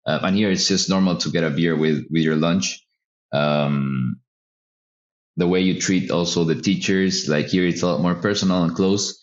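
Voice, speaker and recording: A man from El Salvador, a podcast guest answering in a relaxed, hesitant way with many fillers. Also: low voice, moderate pace, lo-fi sound. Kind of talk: casual interview answers.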